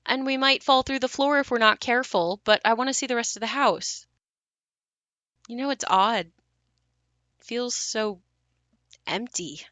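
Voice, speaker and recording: A bright, forward female voice in a very dry recording, an actress playing a grown daughter haunted by the home she left. She speaks plainly and reflectively, in the naturalistic style of an audio play. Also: on the high side, measured pace, long pauses, no echo. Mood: uneasy, searching. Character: wistful, haunted grown daughter revisiting her ruined childhood home.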